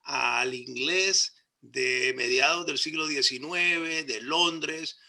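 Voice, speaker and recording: Slow, bright-voiced male panelist. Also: a little high. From Nicaragua. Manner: measured, thoughtful, with pauses. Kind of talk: casual panel discussion.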